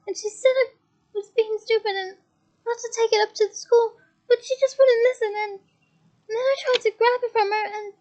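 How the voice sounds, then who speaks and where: A woman's voice: very high-pitched, steady tone, quick pace, few pauses, a little mellow, dull audio, pitched above the performer's own voice. A woman reading aloud, voicing a frightened teenage schoolgirl who is crying and tripping over her words. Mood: tearful panic. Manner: panicked, breathless, stumbling over words.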